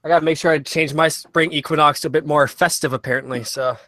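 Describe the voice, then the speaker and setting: A man's voice: fairly high pitch, steady tone, fast talker, pushed and bright, dry recording, studio quality. A man co-hosting a casual podcast, wry and joking. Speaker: podcast co-host. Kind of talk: casual comedic chat.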